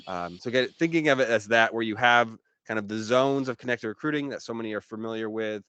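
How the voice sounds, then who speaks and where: A man's voice: lowish voice, fairly quick, pushed and bright, clean tone, no echo. A man giving a casual, explanatory business talk as an expert guest, in an informal conversational manner. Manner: informal, explanatory, frequent "you know".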